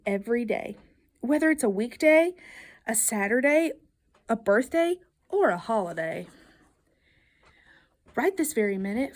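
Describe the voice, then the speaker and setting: A woman reads a children's picture book aloud with a fairly high voice. Her pitch moves up and down in a lively way, and she stresses key words like a storyteller engaging young listeners. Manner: expressive, emphatic storytelling delivery with playful questions. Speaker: storybook reader.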